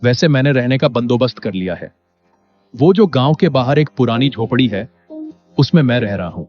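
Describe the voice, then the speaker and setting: A man's voice: medium pitch, animated, normal speed, close to an everyday voice. Humble, earnest farmer played by a man, slightly muffled. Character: naive, honest poor farmer, humble newcomer. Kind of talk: animated moral story character acting. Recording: dull lo-fi sound under music.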